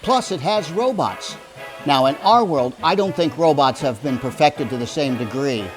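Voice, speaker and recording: A man's voice: on the high side, lively intonation, normal speed, rough recording. A man hosting a radio drama, giving a light, conversational scripted introduction. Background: music.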